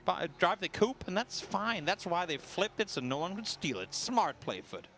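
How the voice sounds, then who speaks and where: A man doing color commentary on a competitive shooter match, analytical and measured, sometimes self-deprecating. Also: high voice, very animated, fairly bright tone, a little hiss or hum. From Denmark. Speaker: esports analyst caster.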